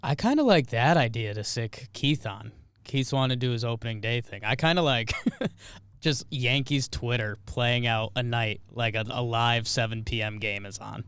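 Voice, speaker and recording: Smooth American male co-host, dry sound. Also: medium pitch, moderate pace, fairly bright tone, dry recording. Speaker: podcast co-host. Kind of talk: casual sports banter.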